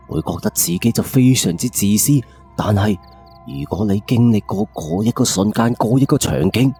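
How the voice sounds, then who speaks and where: A man's voice: fairly low pitch, dark tone, slightly rough. A man with a Cantonese accent narrating a first-person ghost story in a colloquial, suspenseful late-night radio style.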